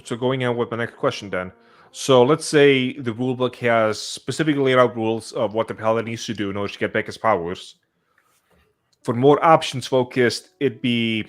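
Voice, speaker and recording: A man in a casual discussion, methodically laying out examples and questions. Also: mid-range voice. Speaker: discussion participant asking questions.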